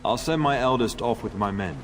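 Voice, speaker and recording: A man's voice: medium pitch, brisk, lightly acted. An actor playing a middle-aged nobleman and father in a fantasy game, speaking in a stately, assured, fatherly way. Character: grey-haired noble father, warm, authoritative lord. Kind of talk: scripted fantasy game dialogue.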